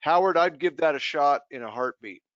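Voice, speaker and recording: A man's voice: a little high, expressive, normal speed, fairly bright tone, no echo. A man giving casual technical advice as a co-presenter in a live chat, explaining things in informal, folksy phrasing. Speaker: technical co-presenter, trainer for a pump maker. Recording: dull lo-fi online call audio.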